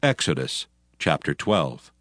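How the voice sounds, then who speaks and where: A man narrating a dramatized Bible reading in a steady, measured way. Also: a bass voice, no echo, studio quality. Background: music.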